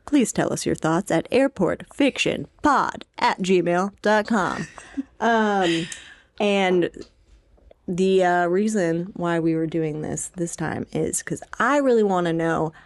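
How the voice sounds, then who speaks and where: A young woman talks as a podcast co-host in a slightly lower-pitched voice. Her delivery is informal and jokey, peppered with slang, like a relaxed book chat. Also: slow pace. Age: young adult.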